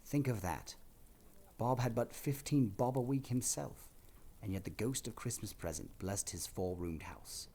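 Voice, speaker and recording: Deep, expressive male storyteller. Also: measured pace, slightly rough. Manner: dramatic, expressive. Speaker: narrator. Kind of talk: scripted story narration.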